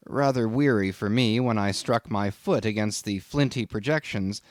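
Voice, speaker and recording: A man reading a literary audiobook as scripted narration, in an expressive, measured storytelling manner. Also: medium pitch, no room sound, studio quality.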